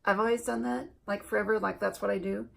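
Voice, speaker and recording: A woman from Alaska chatting slowly and self-deprecatingly while doing her makeup for a beauty vlog. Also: medium pitch, fairly even intonation, vocal fry. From Alaska, USA. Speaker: beauty vlogger.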